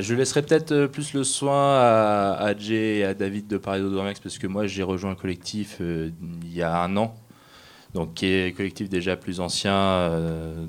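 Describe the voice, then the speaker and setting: A man introducing himself as a guest at a round table, speaking in hesitant, halting phrases. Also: deep voice, smooth. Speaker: guest, amateur audio fiction creator.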